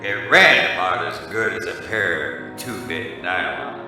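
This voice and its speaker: A hard-boiled, hard-drinking male private eye, played by an actor in a noir radio play. He narrates with deadpan weariness and lively swings in pitch, heard from a distance in a very echoey space with music behind. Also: unhurried, creaky, exaggerated voice. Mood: deadpan, world-weary. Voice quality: deep. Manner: deadpan, world-weary.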